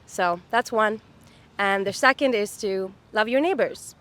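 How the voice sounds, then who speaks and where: A woman's voice: mid-range voice, unhurried, a bit bright. A woman in her thirties from Dubai, chatting upbeat as a street interview guest, with many fillers. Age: 30s. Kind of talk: casual chat. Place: outdoors on a city street.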